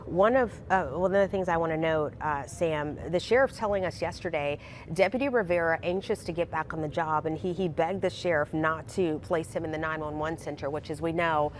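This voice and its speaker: Woman news anchor, low voice, live coverage, loose conversational delivery, faint ambience. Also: fairly quick, slight background noise. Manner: conversational, many fillers and restarts.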